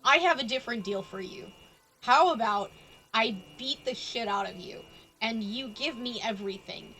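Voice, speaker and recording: Bright-voiced woman streaming a game. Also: on the high side, moderate pace, rough recording. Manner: playful, sarcastic asides. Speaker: live streamer. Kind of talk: casual gaming commentary. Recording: game music behind the voice. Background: game music.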